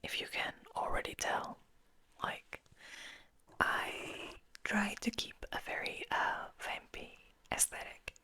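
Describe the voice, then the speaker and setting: A woman hosting ASMR, speaking in a gentle, intimate, breathy whisper at an unhurried pace. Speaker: ASMR host. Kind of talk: soft-spoken whispered ASMR.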